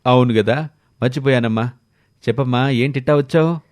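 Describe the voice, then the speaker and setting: A man's voice: medium pitch, a little fast, darkish tone, no echo, natural voice. A man voicing a kindly, blunt village uncle and farmer with a rustic, fatherly manner.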